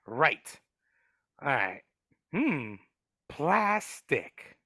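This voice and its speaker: A man's voice: a little high, sing-song, extremely slow, bright, forceful tone, dry recording, clean studio sound, heavily acted. A man doing a grumbly sly dwarf guide. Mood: hesitant. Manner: hesitant, stammering, wheedling. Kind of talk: live dramatic table read over video call. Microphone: video call mic.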